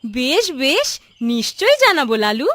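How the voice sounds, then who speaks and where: A woman voicing a kind, grateful village woman in a cartoon story, speaking in a gentle, reassuring manner. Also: high voice, very animated, moderate pace, dry recording. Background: background music.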